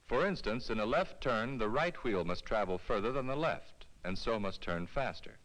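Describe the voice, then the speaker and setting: A man reading a scripted instructional film narration in a clear, measured, explanatory way, as on a 1970s educational film soundtrack. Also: lowish voice, expressive, fairly bright tone, rough recording.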